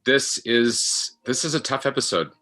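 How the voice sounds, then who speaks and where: A middle-aged man speaking as a guest actor in a reflective interview, halting and earnest, repeating himself for emphasis. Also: medium pitch, expressive, bright, forceful tone.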